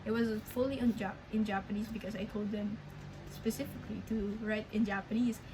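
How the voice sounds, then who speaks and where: Young filipino-accented woman vlogging, slow and rambly, lots of restarts, middle pitch, noisy background. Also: steady tone. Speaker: language learning vlogger. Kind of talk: casual update vlog. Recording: clear background noise.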